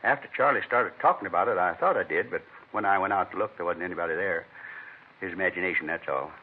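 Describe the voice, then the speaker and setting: An actor playing a rambling, earnest middle-aged American neighbor in an old radio crime drama, plain-spoken and folksy. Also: male voice, low voice, fast, slightly rough. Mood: explaining. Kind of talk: 1950s radio acting. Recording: thin, narrow-band vintage radio recording.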